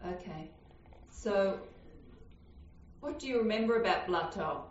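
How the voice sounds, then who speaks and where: A woman's voice: mid-range voice, animated, a little slow. Woman interviewer, patient, short clarifying questions, roomy sound. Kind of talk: oral history interview. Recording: roomy, dull lo-fi recording.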